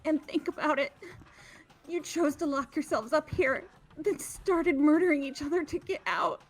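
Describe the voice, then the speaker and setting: A woman's voice: sits high, expressive, normal speed, low-quality recording. A woman voicing a cruel, cynical young female villain in a fan-dubbed video game, scornful and blunt.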